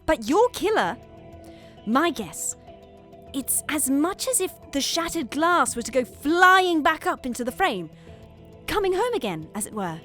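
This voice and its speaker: Eccentric lady doctor for audio drama: high-voiced, highly animated, wry, relishes the gruesome. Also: a little slow, faint music behind, full character voice. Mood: eager, explaining. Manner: theatrical, wry, eager explaining. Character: eccentric female forensic doctor, excited by gruesome puzzles.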